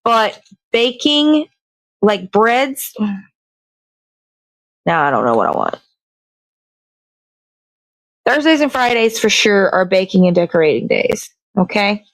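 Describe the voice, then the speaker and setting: A woman's voice: mid-range voice, very animated, unhurried, pauses often, no room sound, poor audio. A woman hosting a casual show, chatting and rambling as she works on a craft. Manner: rambling, self-correcting, chatty. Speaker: host. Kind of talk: casual chat while crafting.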